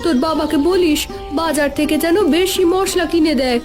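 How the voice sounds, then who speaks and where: A woman's voice: extremely high pitch, normal speed, music in the background. A woman playing a concerned village mother of a young girl in a cartoon. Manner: explaining, concerned. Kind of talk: cartoon voice acting.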